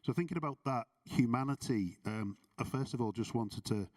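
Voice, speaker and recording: A man's voice: mid-range voice, normal speed, darkish tone, distant mic. A middle-aged man with a Scouse accent, giving earnest, self-deprecating closing remarks at a conference, with many ums. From Liverpool, England. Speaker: conference speaker. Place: conference hall.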